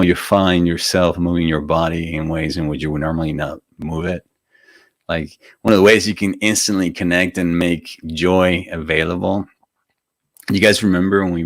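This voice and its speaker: A man's voice: low voice, no echo, studio quality. A man with a Venezuelan accent, hosting a live stream and chatting informally and encouragingly before a guided meditation. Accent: Venezuelan Spanish. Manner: informal, gently encouraging, chatty asides to viewers. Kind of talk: casual spiritual talk before a guided meditation.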